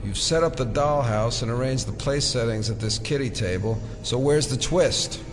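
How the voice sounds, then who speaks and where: An actor playing a defiant, sardonic American federal agent held captive in an audio drama, muttering wry asides. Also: male voice, medium pitch, normal speed, close to an everyday voice. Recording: loud dramatic music behind.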